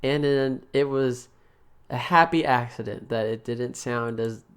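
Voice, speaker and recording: A man's voice: medium pitch, unhurried, clean tone. A young man co-hosting a podcast, talking in casual, rambling banter with lots of restarts and laughs. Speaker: podcast co-host.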